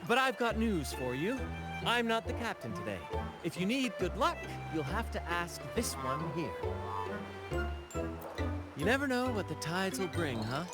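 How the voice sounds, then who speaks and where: A man's voice: sits high, big pitch swings, measured pace. A man playing a flamboyant young pirate captain and showman in a video game, with a theatrical, declamatory delivery. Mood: easygoing, charming. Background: game background music.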